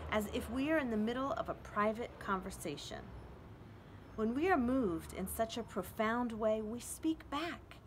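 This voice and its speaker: A middle-aged woman reading poetry aloud expressively with deliberate pauses and casual asides. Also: fairly high pitch. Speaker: children's librarian reading to an online audience. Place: outdoors on a house front porch. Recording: outdoor traffic noise, street ambience. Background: street traffic.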